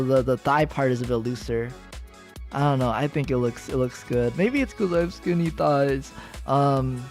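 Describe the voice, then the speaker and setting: A young man with an American accent chatting with viewers on a livestream, rambling and giggly, full of fillers and restarts. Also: mid-range voice. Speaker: VTuber streamer. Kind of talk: casual livestream chat with viewers.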